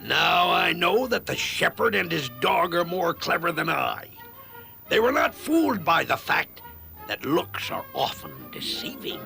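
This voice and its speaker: High, greedy, theatrical cartoon schemer. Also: male voice, big pitch swings, unhurried, creaky, pushed and bright, soft background music, heavily acted, poor audio. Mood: rueful. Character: greedy, gloating wolf and foolish greedy farmer. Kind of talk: theatrical cartoon fable acting.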